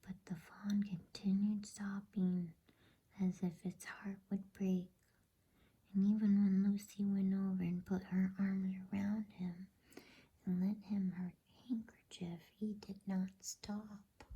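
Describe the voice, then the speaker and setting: Female ASMR bedtime narrator, soft husky whisper, dark and gentle in tone, unhurried reading. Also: medium pitch, little pitch movement, muffled recording. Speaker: storyteller.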